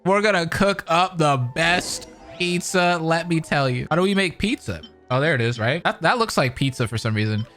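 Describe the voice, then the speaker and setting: A woman's voice: low-pitched, animated, moderate pace, a bit bright. A woman doing casual, joking reaction commentary over a video game, talking in loose slang. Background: game music.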